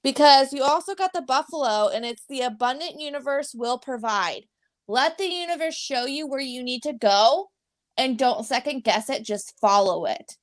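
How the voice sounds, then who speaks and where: High-pitched lady from pennsylvania reading cards, calm and steady. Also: moderate pace. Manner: calm, instructive card reading. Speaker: psychic reader. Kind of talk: live spiritual reading.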